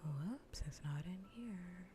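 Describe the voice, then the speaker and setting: A woman streaming in a soft, breathy, often whispered ASMR voice, chatting informally and playfully close to the mic. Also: on the low side, animated, takes it slowly, background noise. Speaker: streamer hosting a live cozy gaming broadcast. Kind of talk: soft-spoken ASMR casual chat. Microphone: close ASMR microphone.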